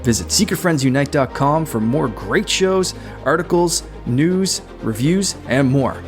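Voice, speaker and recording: A man reading a scripted network promo in a smooth, even delivery. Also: fairly high pitch, a little slow. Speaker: network announcer. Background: music bed.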